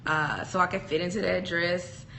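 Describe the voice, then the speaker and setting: Bright-voiced giggly young woman. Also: lowish voice, fairly even intonation, normal speed, creaky, slight background noise. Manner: giggly, rambling, playful. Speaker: podcast co-host. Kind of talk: casual couple chat.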